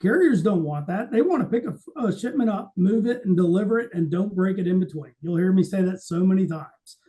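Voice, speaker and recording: Male podcast co-host, notably high pitch, dark and relaxed tone, conversational explainer who stresses his points and role-plays quotes. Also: fairly quick. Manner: explanatory, emphatic, acts out quoted remarks. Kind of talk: conversational industry podcast talk.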